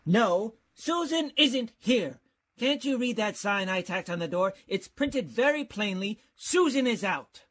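A put-upon male college dean from an old radio comedy, acted by a man. The voice is high and rough-edged, swinging widely in pitch. He sounds fussy, flustered and dryly sarcastic. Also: animated, a little slow, a bit bright, full character voice. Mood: exasperated sarcasm. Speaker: actor playing the lead in a radio comedy.

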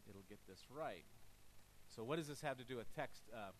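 A middle-aged man speaks at a lectern in a lecture hall, explaining code in a loose conversational way that keeps doubling back on itself. His pitch sits in an ordinary middle range. A faint hiss runs under the recording. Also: expressive, normal speed. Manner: casual, thinking aloud with frequent restarts. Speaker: conference presenter. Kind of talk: technical talk with live demo.

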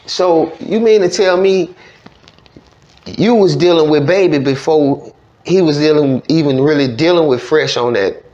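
A man's voice: a little high, unhurried, a little mellow. A man hosting a casual interview in colloquial speech, often chiming in with agreement. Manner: colloquial, frequent affirming interjections. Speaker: podcast host. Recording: slightly dull studio sound.

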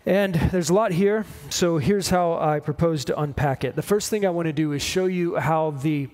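A man in his forties preaching a sermon, explaining in measured short phrases with pauses. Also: a little high, a little mellow. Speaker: pastor preaching. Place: church stage at a lectern, indoors.

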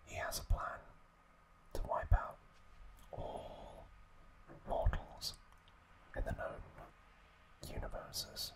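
A man hosting ASMR, narrating in a hushed whisper with drawn-out phrasing, close to the microphone. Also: low-quality recording. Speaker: ASMR host.

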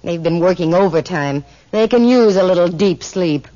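An actress playing a wisecracking, self-assured private detective in a radio drama, with a dry, breezy manner. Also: female voice, fairly low pitch, expressive, normal speed, close to an everyday voice. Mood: wry, breezy. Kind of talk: 1950s radio drama acting. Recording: muffled vintage 1950s radio recording.

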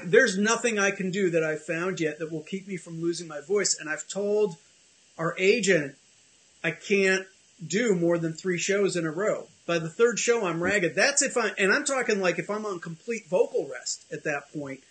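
A man's voice: sits high, fairly bright tone, poor audio. A middle-aged man hosting a casual video call chat from his home office, rambling and self-deprecating with many restarts. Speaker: host.

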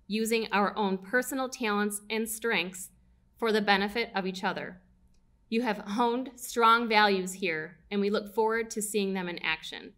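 A young woman reads a prepared address from a podium mic. Her delivery is measured and even. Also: mid-range voice. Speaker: alumni relations director. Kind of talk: read formal address. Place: stage at a podium.

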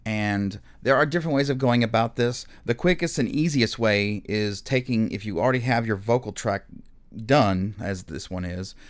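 Male tutorial narrator, lowish pitch, casual and explanatory, slightly hesitant off-the-cuff delivery. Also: normal speed. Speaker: music software instructor. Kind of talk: casual spoken screen tutorial.

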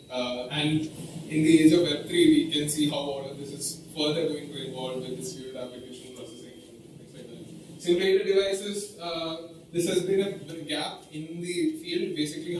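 A young man with an Indian accent giving a meetup tech presentation in a hesitant, explanatory way, with frequent filler words. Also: a little high. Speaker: tech speaker. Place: indoor meeting room, standing by a projection screen. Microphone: picked up from a distance. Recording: very echoey room, distant mic, faint noise.

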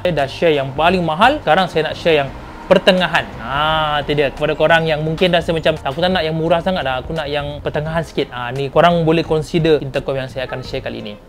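A Malaysian man with a fairly high-set voice presents an unboxing in a casual, slangy style. He is an enthusiastic vlog host who leans on catchphrases. Light music plays behind him. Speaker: motovlog host. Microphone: clip-on lapel mic.

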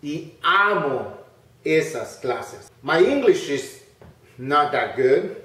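A middle-aged man with a Latin American Spanish accent, a native Spanish speaker, teaching in short, deliberate phrases and alternating between two languages. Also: fairly high pitch, very animated, fairly bright tone. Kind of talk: bilingual lesson introduction, alternating English and Spanish phrase by phrase. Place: living room at home. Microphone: camera a few metres away. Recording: roomy echo, distant camera microphone.

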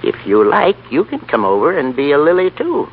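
A man's voice: on the high side, huge pitch swings, moderate pace, phone-quality sound, slight background noise. An actor playing a carefree old grandfather, folksy, plain-spoken and easygoing, in a 1930s radio play. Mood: breezy.

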